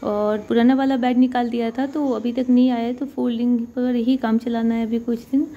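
Chatty woman vlogger, flat and mellow. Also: a little high, fairly quick. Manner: chatty, explains daily chores at an even pace. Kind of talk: casual home vlog narration. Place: apartment room, indoors. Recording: slightly dull phone audio with faint room noise.

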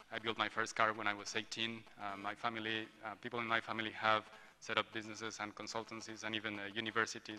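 A man from Mexico in his forties, pausing often with ums as he presents at a roundtable. His voice is bright and tense, and somewhat low. The conference table mic sits in a big hall with a long reverb tail. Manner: hesitant, many ums and restarts. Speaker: conference speaker, industrial designer. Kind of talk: panel presentation. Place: large hotel ballroom roundtable. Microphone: table gooseneck mic.

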